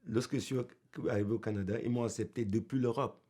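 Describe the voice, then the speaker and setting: Man, middle-aged, low voice, dark mellow tone, earnest. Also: steady tone, fast talker, slightly rough. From Cameroon. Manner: earnest, heartfelt, with small restarts. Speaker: musician. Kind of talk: documentary interview.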